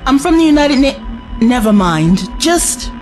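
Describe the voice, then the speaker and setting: A woman's voice: high-pitched, very animated, a little slow, music in the background. A woman playing a blunt, impatient young British adventurer in an audio drama, with a British accent.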